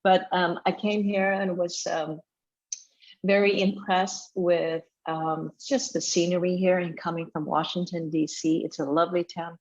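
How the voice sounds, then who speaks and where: A middle-aged woman from Vietnam, a chef and restaurant owner, talking casually in an interview with many pauses and fillers. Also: on the low side, darkish tone, lo-fi sound.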